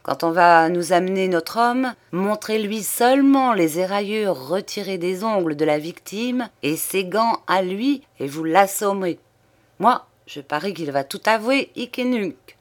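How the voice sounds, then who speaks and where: Woman voicing an excitable, vain old man, theatrical. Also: fairly low pitch, moderate pace, natural voice. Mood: exalted, boastful. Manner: exclamatory, emphatic, talkative. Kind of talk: audiobook character dialogue.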